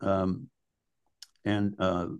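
Guy with a real low voice, rambly casual storytelling like a musician in an interview. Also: completely flat delivery, extremely slow, darkish tone, clean tone, highs cut off.

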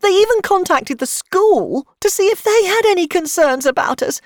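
A woman's voice: very high voice, lively intonation, drawn-out words, darkish tone, no room sound, clean studio sound, pitched above the performer's own voice, heavily acted. A woman voicing a distraught foster mother on the phone in an audiobook, her voice trembling and emotional. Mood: distraught, indignant. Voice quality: trembling, breaking with sobs. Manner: emotional, indignant outbursts.

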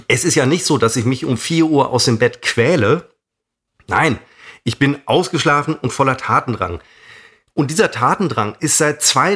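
A man's voice: fairly high pitch, fairly bright tone. A middle-aged man with a cold and a slightly nasal voice, co-hosting a podcast, rambling defensively with lots of fillers. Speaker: podcast co-host. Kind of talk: casual podcast banter.

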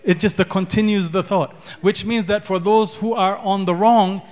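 High-voiced preacher in a big echoing hall. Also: male voice, steady tone, moderate pace, darkish tone. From Ethiopia. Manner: repeats words, builds in rising declarations. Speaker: pastor. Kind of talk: sermon. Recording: long hall reverb.

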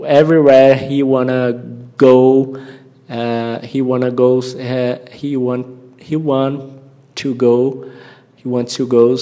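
Slow, halting Brazilian man, mellow voice. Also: medium pitch. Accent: Brazilian Portuguese. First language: Portuguese. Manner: halting, searching for words, repeats himself. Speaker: language-learning podcast host. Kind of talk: informal spoken English-learning lesson.

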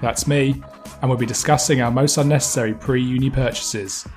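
Guy reading a podcast teaser, mid pitch, quiet music behind. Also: steady tone, normal speed. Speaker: money expert, trailer voice-over. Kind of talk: scripted promo read. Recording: voice-over with faint music.

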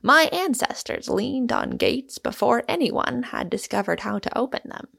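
A woman voicing a whimsical, flamboyant idle gentleman with a haughty, mock-heroic and theatrical manner in an audiobook. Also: fairly high pitch, sing-song, normal speed, vocal fry, husky, voice pushed up, full character voice. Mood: lazily reflective. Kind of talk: audiobook character voice.

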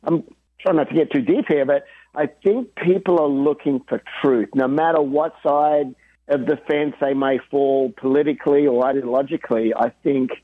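A man being interviewed about drumming and faith, talking in an earnest, animated way and laughing easily. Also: medium pitch, moderate pace, no echo. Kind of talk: casual interview chat. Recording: dry tinny phone-like line.

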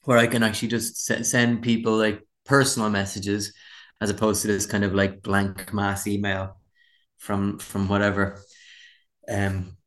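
A man's voice: fairly low pitch, completely flat delivery, a little slow, fairly bright tone. An Irish man, a musician being interviewed, chatting casually in a candid, rambling, self-deprecating way. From Dublin, Ireland. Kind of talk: casual chat.

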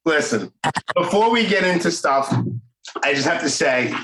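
A man's voice: a little high, lively intonation, moderate pace, music in the background. A man in his forties with a Brooklyn accent, hosting a casual podcast interview in a rambling, chatty way. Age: 40s. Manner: chatty, warm-hearted, rambling. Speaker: podcast host. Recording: echoey room.

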